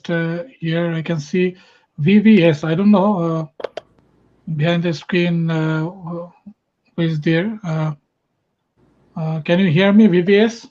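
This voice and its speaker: A man's voice: fairly high pitch, fairly even intonation, takes it slowly, long pauses, darkish tone. A man from Bangladesh with a Bangladeshi accent, a native Bengali speaker, hesitantly moderating an online poetry event with many fillers. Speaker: festival moderator. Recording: dull lo-fi online call audio.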